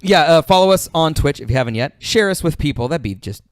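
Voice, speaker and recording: A man's voice: on the high side, animated, quick pace, dry recording. Casual, sweary male podcast host, dry sound. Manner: sweary, informal.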